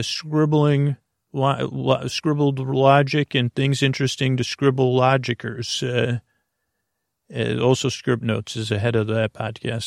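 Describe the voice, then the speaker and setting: Man, gravelly fry, dry close sound, meandering bedtime podcast host, halting, gentle and reassuring. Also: medium pitch, no echo. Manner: meandering, halting, full of tangents and repeated false starts. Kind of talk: rambling bedtime monologue to help listeners sleep.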